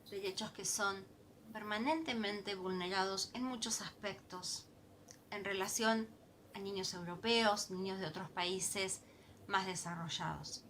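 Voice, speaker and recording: A woman's voice: mid-range voice, fairly even intonation, slow, pushed and bright, slight background noise. A woman from Argentina with an Argentine Spanish accent, giving an earnest, impassioned recorded video message as an activist. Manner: earnest, impassioned, repeats for emphasis.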